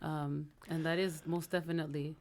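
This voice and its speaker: A woman's voice: low-pitched, flat delivery, moderate pace, darkish tone. A woman from Los Angeles, a band singer, chatting casually in an interview and often checking for agreement. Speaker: lead singer being interviewed. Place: radio studio.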